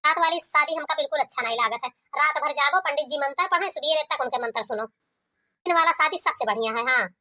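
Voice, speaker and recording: A woman's voice: high-pitched, a little fast, sharp tone. A woman voicing an impatient, chatty member of a wedding party in a cartoon, with an Awadhi accent. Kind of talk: cartoon comedy voice acting.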